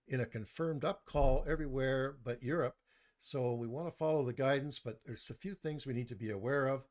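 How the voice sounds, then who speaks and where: A soft-spoken man giving a live online stock market review into a desktop mic, measured, with frequent pauses and restarts. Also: mid-range voice. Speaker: presenter of a stock market webinar. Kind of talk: live online presentation, reading chat comments.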